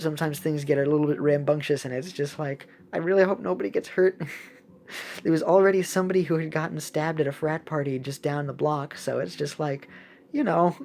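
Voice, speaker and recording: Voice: mid-range voice, a little mellow. A young adult giving casual, playful gameplay commentary in a rambling, chatty way. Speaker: gaming video host. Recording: clearly audible background noise.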